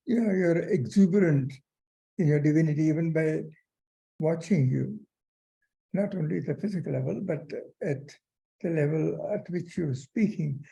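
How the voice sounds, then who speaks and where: An older man giving a formal vote of thanks, speaking haltingly with many "uh"s and earnest praise. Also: a little high, gravelly, warm tone, poor audio. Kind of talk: formal thank-you speech.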